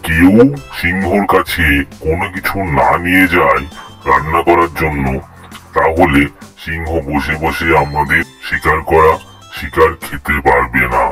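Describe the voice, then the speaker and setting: A man acts a cartoon tiger king with an extremely deep voice. He is a commanding judge of the jungle who weighs his words, over faint music. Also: fairly bright tone. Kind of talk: cartoon voice acting for children.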